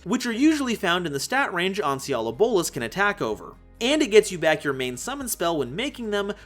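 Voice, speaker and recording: A man presenting scripted card game commentary in an animated, playful way, with conversational asides. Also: high-pitched. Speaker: host of a card game analysis channel.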